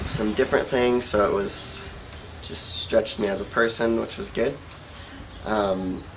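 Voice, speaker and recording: Low-voiced young American man, noisy outdoor recording. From Oregon, United States. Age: young adult. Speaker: volunteer being interviewed. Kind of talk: casual interview answers. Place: outdoor rooftop terrace. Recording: clear outdoor background noise.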